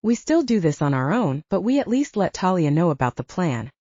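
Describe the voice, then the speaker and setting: An easygoing young male werewolf from an audiobook romance, played by a woman narrator. He is playful and reassuring, with a soft mellow tone and very mobile pitch, recorded dry and slightly dull. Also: very animated, brisk, no room sound. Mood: earnest.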